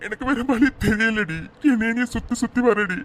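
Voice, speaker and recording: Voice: mid-range voice, normal speed, fairly bright tone, close to an everyday voice. A performer voicing a remorseful young man apologizing over and over to the woman he is leaving. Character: remorseful young man breaking up with his fiancée.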